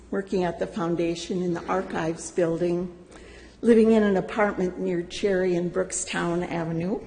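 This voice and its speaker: Older lady reading her church testimony, slow and steady, mellow voice, big echoey sanctuary. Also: on the low side. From United States. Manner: slow, deliberate reading with gentle humor. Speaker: church member giving a testimony. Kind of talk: prepared personal testimony.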